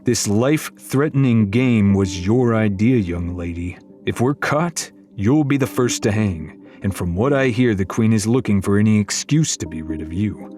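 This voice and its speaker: A man voicing a stern, gruff knight commander from a storybook in a measured, scolding manner. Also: lowish voice, animated, darkish tone, soft background music, close to an everyday voice. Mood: stern, threatening. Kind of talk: storybook character acting.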